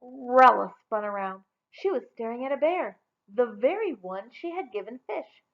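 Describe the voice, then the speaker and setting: A woman reading a children's story aloud in an expressive storytelling style. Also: a little high, normal speed, highs cut off.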